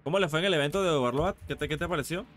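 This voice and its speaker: A man's voice: a little high, very fast, fairly bright tone. A man with a Latin American Spanish accent chatting casually on a live gaming stream, informal and slangy, with some casual swearing. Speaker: game streamer.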